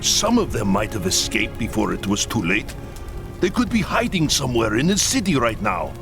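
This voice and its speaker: An actor playing a proud, solemn leader of a war-torn camp in a fantasy game cutscene, speaking earnestly with music behind. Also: male voice, mid-range voice, huge pitch swings, moderate pace. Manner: earnest and solemn, pleading at times. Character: grave, proud Kislevite camp leader, middle-aged man. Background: orchestral game music.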